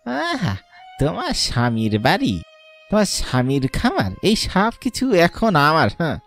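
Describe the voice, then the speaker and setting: A man's voice: fairly high pitch, huge pitch swings, moderate pace, creaky, fairly bright tone, faint music behind, exaggerated voice. Man playing a sneering, greedy villain brother. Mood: gloating. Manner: sneering, mocking, bossy. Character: greedy, scornful villain, younger brother of landowner. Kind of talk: melodramatic cartoon voice acting.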